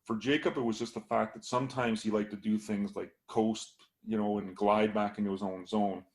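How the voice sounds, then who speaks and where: A man talking through a casual hockey podcast analysis as a scout, a bit hoarse from losing his voice, with many fillers. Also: lowish voice, fairly even intonation, moderate pace.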